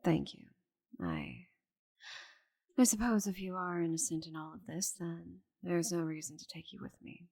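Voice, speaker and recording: Woman acting a haughty, breathy, low-voiced female dragon. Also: very animated, normal speed, a little mellow, full character voice. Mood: resigned, softened. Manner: haughty, dramatic, sighing. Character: proud stubborn female dragon cursed to shrink, imperious and bitter. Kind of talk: scripted audio roleplay monologue.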